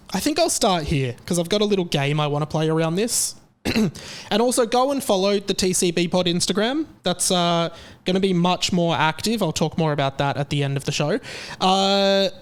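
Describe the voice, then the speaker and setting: Rambling Australian man podcasting solo. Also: sits high. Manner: rambling, self-mocking, many fillers and restarts. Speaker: podcast host. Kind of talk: casual solo podcast chat.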